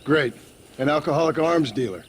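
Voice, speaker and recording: A man voices a hard frontier gunman for a Western game. He is curt, sardonic and menacing, pitched a little above average. Noticeable background noise surrounds him. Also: expressive, moderate pace, close to an everyday voice. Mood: dry, sardonic. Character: blunt, menacing Old West gunman, impatient and dry. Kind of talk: video game voice acting.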